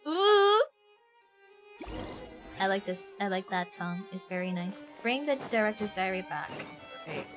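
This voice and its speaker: Girl livestreaming a game, middle pitch, reads stuff out n chats casually, music behind. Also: sing-song, a little slow, pauses often, a bit bright. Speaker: live streamer. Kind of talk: game livestream, reading text aloud and chatting casually.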